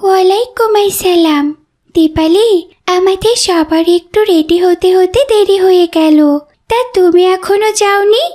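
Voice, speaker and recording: Woman playing very high-voiced curious cartoon schoolgirl. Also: a little slow, darkish tone. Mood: curious, polite. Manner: polite, questioning. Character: polite, curious madrasa schoolgirl. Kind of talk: cartoon voice acting.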